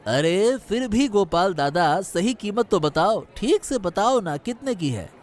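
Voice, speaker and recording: Very high, animated haggling village man acted. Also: measured pace, a faint crowd. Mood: insistent. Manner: insistent, bargaining, familiar. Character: haggling, well-off village male fish customer. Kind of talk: Hindi cartoon moral story dialogue.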